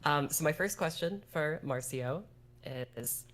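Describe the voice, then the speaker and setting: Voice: mid-range voice, lively intonation, slow, crowd noise. A stream host asking questions in a casual, friendly Q&A. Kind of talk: casual Q&A hosting.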